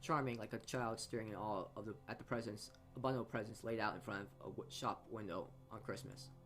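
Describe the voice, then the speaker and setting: A man's voice: fairly low pitch, steady tone, fairly quick, fairly bright tone. A man narrating a visual novel aloud as a let's play host, often stumbling over words and re-reading them. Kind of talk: reading game text aloud with asides. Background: game music.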